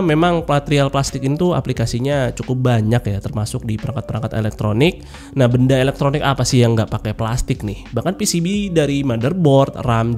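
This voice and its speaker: A young man narrates a tech review as a voice-over, sounding nasal and blocked up from a cold. His tone is dark and mellow and his manner chatty and loose. Faint music plays under him. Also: medium pitch. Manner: chatty and informal, with many filler particles. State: stuffy nose from a cold. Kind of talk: casual product review voice-over. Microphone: voice-over mic.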